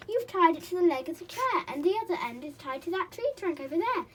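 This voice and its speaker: A woman's voice: a little high, sing-song, normal speed, darkish tone, a little hiss or hum. A woman reading aloud and voicing an eager young girl in a children's storybook. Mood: excited.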